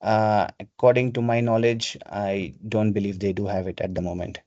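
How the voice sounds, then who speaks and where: A man's voice: fairly low pitch, level tone, normal speed, a little mellow, dry recording. Man presenting, dull video-call sound. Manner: step-by-step, instructional. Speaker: webinar presenter. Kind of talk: software walkthrough, explanatory. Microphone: video call microphone.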